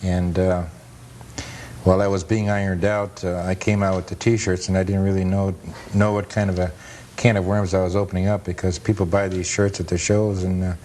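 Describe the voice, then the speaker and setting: A man's voice: sits low, little pitch movement, fairly quick, a little mellow, a little hiss or hum. A man speaking as an interview guest, explaining things plainly like an enthusiast narrating old film. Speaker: guest author and amusement park enthusiast. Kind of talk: interview answers and film commentary.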